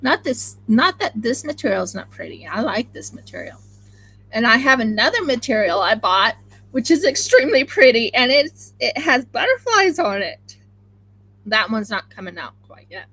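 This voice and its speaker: Chatty Oklahoma-accented woman with a gravelly edge. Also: on the high side, moderate pace, low-quality recording. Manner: chatty, rambling, excitable. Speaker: craft channel host. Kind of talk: casual live-stream chat while sewing.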